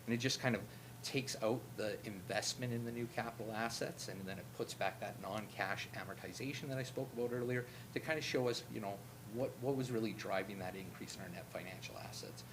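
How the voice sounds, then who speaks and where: A man auditor presenting financial statements to a town council, in a steady, explanatory way that he himself calls droning. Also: brisk. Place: council meeting room. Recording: meeting recording with loud background rumble.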